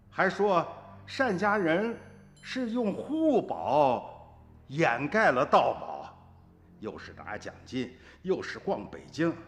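An older man playing an old farmer grandfather in a radio drama, recounting his family's story in a folksy, unhurried way. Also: a little high.

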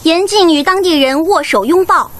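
A woman acting a brisk, dutiful medical worker reciting rules in a Chinese radio drama. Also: very high voice, slight background noise. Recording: loud music and crowd behind.

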